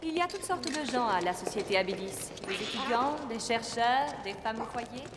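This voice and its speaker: A woman's voice: a little high, lively intonation, moderate pace, noisy background, close to an everyday voice. A woman playing an ambitious young science student in a cartoon, talkative and self-assured, with long reverb. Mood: confident. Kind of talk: dubbed cartoon acting.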